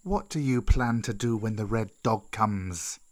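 A man voicing a wise, grudging old giant python in a children's storybook, with drawn-out, deliberate delivery. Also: fairly low pitch, close to an everyday voice. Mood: gentle, probing.

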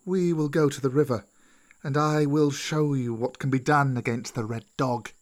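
A man voicing a wise, grudging old giant python in a children's storybook, with drawn-out, deliberate delivery. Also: medium pitch, fairly even intonation, close to an everyday voice. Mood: calm, knowing.

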